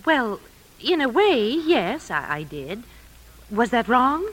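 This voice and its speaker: A woman's voice: a little high, sing-song, takes it slowly, heavily acted, low-quality recording. A woman playing a concerned, middle-aged mother of a grown daughter in a 1950s radio drama, solicitous and hesitant. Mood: hesitant, uneasy.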